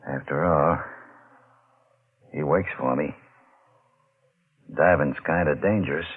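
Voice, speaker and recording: An actor playing a brooding, guilt-ridden deep-sea diver in an old-time radio mystery, speaking in a tense, halting way. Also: male voice, breathy edge, full character voice. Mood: cold, scheming. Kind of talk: old-time radio drama acting with narrated inner monologue. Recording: muffled, noisy old radio recording.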